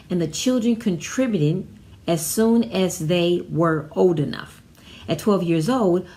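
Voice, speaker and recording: An American woman hosting an informal educational vlog, partly reading aloud and partly ad-libbing, with an emphatic delivery that repeats phrases. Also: on the low side. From Chicago, United States. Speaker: vlog host.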